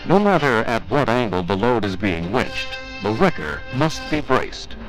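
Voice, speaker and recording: A man's voice: medium pitch, sing-song, a little slow, rough recording. A man reading scripted instructional narration for a training film in a formal, methodical, step-by-step manner. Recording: 1980s training film soundtrack with music.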